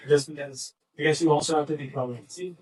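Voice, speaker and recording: A man's voice: on the high side, steady tone, quick pace. A man casually asking follow-up questions at a fireside chat, recorded by a distant mic. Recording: distant mic, poor event audio.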